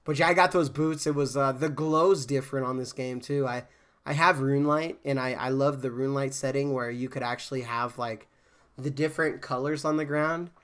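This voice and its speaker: Relaxed male streamer, congested, rambling chatter, mid pitch. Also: fairly quick. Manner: relaxed, rambling, lots of fillers and restarts. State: congested from a cold. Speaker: game streamer. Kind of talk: casual live-stream chat.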